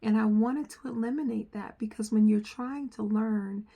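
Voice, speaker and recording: A middle-aged woman from the United States, with an accent that mixes New Jersey, New York and North Carolina, talking earnestly to camera as a software trainer and repeating phrases for emphasis. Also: on the high side, normal speed, slightly rough. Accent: mixed New Jersey, New York and North Carolina. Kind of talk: earnest direct-to-camera talk.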